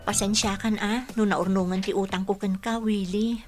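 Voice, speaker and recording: An actress with a Filipino accent playing a gentle, dutiful young working woman, soft and apologetic, in a radio drama. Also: medium pitch, fairly even intonation, normal speed, over music, natural voice. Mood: grateful.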